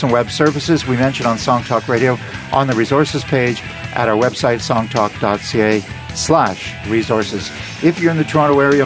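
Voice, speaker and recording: Male radio host over background music. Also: mid-range voice. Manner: wry, reads out plugs and thanks briskly. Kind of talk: radio talk show wrap-up. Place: radio studio.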